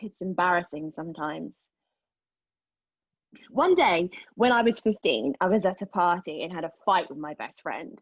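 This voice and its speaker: An actress in a table read of a play, playing an agitated, defiant, outspoken woman who speaks haltingly and emotionally. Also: lowish voice, lively intonation, husky, dry recording, dull audio. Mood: candid, nervous. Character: defiant, agitated woman arguing with a machine, giving personal testimony.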